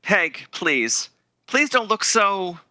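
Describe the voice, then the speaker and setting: Man acting earnest young suitor, bright tense voice. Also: high voice, very animated, no room sound, exaggerated voice. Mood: pained, pleading. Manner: halting, hesitant delivery. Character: earnest, guilt-ridden young man ending a summer romance. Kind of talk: 1930s radio drama acting.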